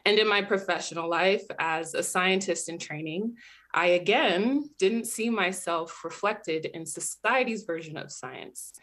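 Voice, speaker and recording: A young American woman from New Jersey giving a measured, reflective personal talk as a PhD student, recorded over a webcam. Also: lowish voice, fairly bright tone. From New Jersey, USA. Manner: earnest, measured, reflective storytelling. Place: indoor room at home.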